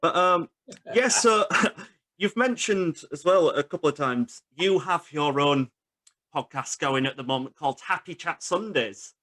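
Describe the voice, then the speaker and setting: Bright-voiced male interviewer. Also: a little high, unhurried. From Halifax, England. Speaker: podcast interviewer. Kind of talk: casual interview chat.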